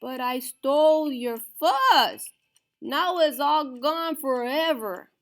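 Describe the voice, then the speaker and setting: Woman voicing a loud, boastful prairie dog. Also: high-pitched, expressive, slow pace, pitched above the performer's own voice, full character voice. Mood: grateful, sorry.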